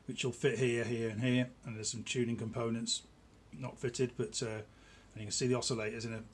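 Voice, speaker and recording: A middle-aged man giving a casual, informal product demonstration in a small indoor workshop, explaining haltingly and repeating words for emphasis. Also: mid-range voice, flat delivery. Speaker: electronics builder presenting their own product. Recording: clear background noise in a room.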